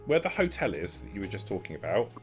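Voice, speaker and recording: A man acting as game master, narrating and explaining the scene in a measured, improvised way. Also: on the low side, big pitch swings, faint music behind.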